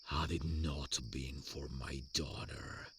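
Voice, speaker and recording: A man acting a menacing, protective vampire father in an audio roleplay, with a rumbling voice and a cold, deliberate, theatrical delivery. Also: little pitch movement, heavily acted. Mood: weary threat. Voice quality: gravelly, rumbling. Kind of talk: ASMR roleplay villain acting. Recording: faint background noise with chirping crickets.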